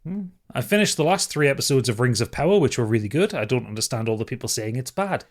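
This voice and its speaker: Guy on a podcast reading out messages and chatting, ordinary pitch, dry and a bit sweary. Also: lively intonation, quick pace. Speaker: podcast co-host. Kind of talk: casual chat, reading out listener feedback.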